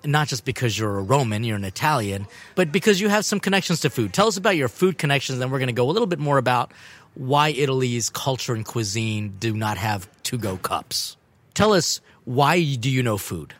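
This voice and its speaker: Playful podcast host interviewing outdoors. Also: male voice, mid-range voice, animated, a little fast, fairly bright tone. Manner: playful, explains terms to listeners. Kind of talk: casual interview hosting. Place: outside a cafe with street traffic.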